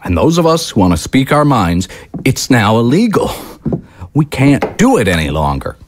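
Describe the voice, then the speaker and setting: An actor playing a fiery right-wing political commentator, delivering an indignant, emphatic broadcast monologue with dramatic pauses. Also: male voice, medium pitch, wildly swooping pitch, background noise. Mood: alarmed, indignant.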